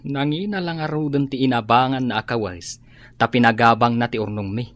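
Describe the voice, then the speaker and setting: An actor plays a henpecked grown son and husband in an Ilocano radio drama. He grumbles wearily in a mid-pitched voice, recorded dry and close. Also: brisk, dry recording, close to an everyday voice. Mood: weary, annoyed.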